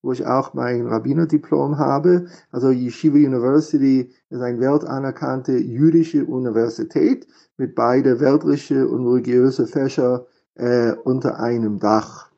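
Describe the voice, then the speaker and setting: A man's voice: mid-range voice, fairly even intonation, a little slow, creaky, warm tone, highs cut off. A middle-aged man from Philadelphia with an American accent, a rabbi answering interview questions in a hesitant way, with many fillers and restarts. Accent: American English. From USA, Philadelphia. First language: English. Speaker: rabbi, guest.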